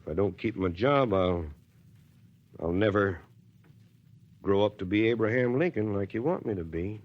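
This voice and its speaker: An actor playing a stubborn, harried small-town mayor in a blustering 1940s radio drama style. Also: male voice, fairly low pitch, lively intonation, moderate pace, highs cut off. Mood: weary. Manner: blustering, exclamatory, stammering when flustered. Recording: noisy 1940s radio broadcast recording.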